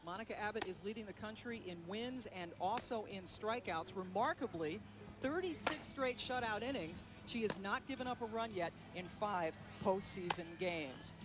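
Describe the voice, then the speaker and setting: A man calling a college softball game as play-by-play announcer, with a brisk, upbeat, stat-heavy broadcaster delivery. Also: high voice, expressive. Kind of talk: live sports commentary. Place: broadcast booth at a stadium. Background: crowd noise.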